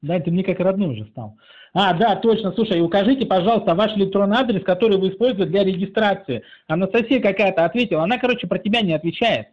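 Man, slightly high voice, sarcastic and teasing, slow drawl, muffled lo-fi recording. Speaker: channel author pranking a phone scammer. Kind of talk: casual mocking phone chat.

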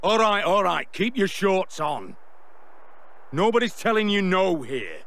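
Blunt, exasperated comrade. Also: male voice, sits high, sing-song, measured pace, fairly bright tone, exaggerated voice. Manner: blunt, colloquial. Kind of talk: video game cutscene acting.

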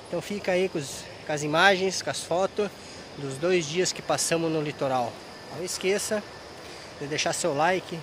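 Hoarse man vlogging by a river. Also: on the high side, background noise. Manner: chatty, addresses viewers directly. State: hoarse from a cold. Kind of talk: casual travel vlog. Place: outdoors at a riverside campsite. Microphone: action camera held at arm's length.

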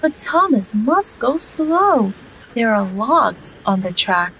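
Female storyteller for picture books, slow and very lively, slight background noise. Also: on the high side, poor audio. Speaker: storyteller reading a children's book aloud. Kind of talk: expressive read-aloud storytelling.

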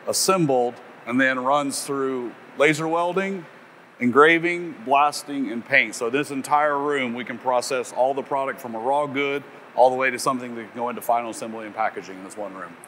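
Guy in his 30s walking thru technical stuff, matter of fact, detailed, normal mid pitch. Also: moderate pace, a bit bright, a little hiss or hum. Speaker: company representative giving a factory tour. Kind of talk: casual technical explanation. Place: indoors, sound-testing room and factory floor.

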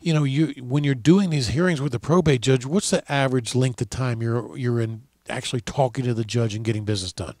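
A man's voice: medium pitch, fairly quick, few pauses, darkish tone, no room sound. A man hosting a law radio show, chatting casually with a colleague in a studio on a headset mic. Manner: rambling, folksy storytelling. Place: dark studio.

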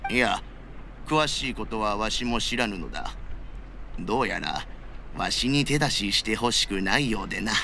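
Gravelly old father. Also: lowish voice, lively intonation, background noise. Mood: worried. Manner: old-man speech, slow-worded entreaty. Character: worried elderly father, old-fashioned and gruff. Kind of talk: anime game voice acting.

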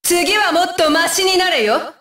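A woman's voice: very high-pitched, measured pace, pushed and bright, no echo, clean studio sound, full character voice. A woman playing a fierce, disdainful young female shinobi with a curt, commanding manner, in anime video game battle lines. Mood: fierce, threatening.

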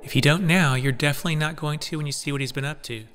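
Man acting a nervous, quick-talking companion. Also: fairly high pitch, fairly bright tone, slight background noise, close to an everyday voice. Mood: uneasy. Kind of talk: audio drama acting.

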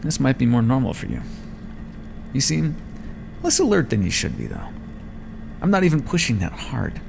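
Man acting a creaky-voiced, condescending vampire experimenter. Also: mid-range voice, sing-song, moderate pace, background noise. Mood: clinical, musing. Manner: condescending, teasing, musing aloud. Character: clinical, smug male vampire experimenter toying with captive. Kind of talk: ASMR roleplay, intimate scripted monologue.

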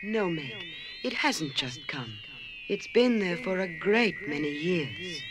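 A performer playing a kindly, motherly old celestial guardian in an audio drama, gently explaining and reassuring. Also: mid-range voice, big pitch swings, slow pace, crowd noise. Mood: gentle, sad. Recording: music under the voice.

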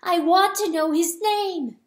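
A woman's voice: sits high, takes it slowly, a little mellow, voice pushed up. A woman voicing a grieving, raging hen wife in a dramatic audiobook, pleading and declaiming with passion. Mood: raging, demanding. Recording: roomy, echoing sound.

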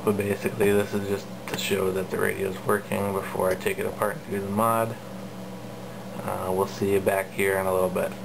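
Flat-toned man over clear background noise. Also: deep voice, fairly quick. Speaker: radio hobbyist presenting a demo. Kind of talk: casual hands-on demo talk. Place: indoors at a home radio setup. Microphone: handheld camera filming the equipment.

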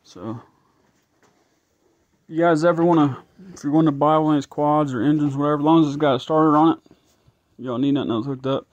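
A man's voice: on the high side, a little fast, darkish tone, dry recording. Guy talking to himself over an engine in his garage, kinda muffled phone audio. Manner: rambling, thinking aloud, frustrated. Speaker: home mechanic vlogger. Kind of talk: casual garage walkthrough. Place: home garage workbench. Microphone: phone camera held close.

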